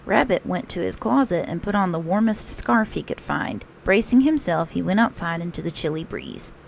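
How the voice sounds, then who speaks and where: A woman reading a children's picture book aloud as a storytelling teacher, expressive and unhurried. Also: lowish voice, vocal fry, slight background noise.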